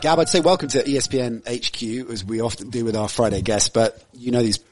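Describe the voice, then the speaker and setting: A man hosting a sports podcast, telling a story in a lively, joking way. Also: mid-range voice, brisk, soft background music. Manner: storytelling, animated and jokey. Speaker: podcast host. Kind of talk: casual sports chat.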